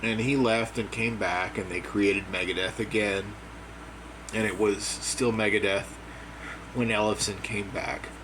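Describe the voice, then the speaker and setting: Male commentator, hoarse and dry, low-pitched, blunt and opinionated; steady air conditioner noise under the voice. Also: unhurried. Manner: opinionated, plain-spoken, repeats his points. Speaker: music commentator. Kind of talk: casual opinion monologue. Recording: air conditioner hum behind.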